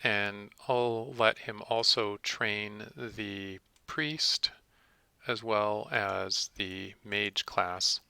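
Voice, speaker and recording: A man narrating a step-by-step software tutorial in a methodical, instructional manner. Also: on the low side, steady tone, very slow, gravelly, clean tone, dry recording.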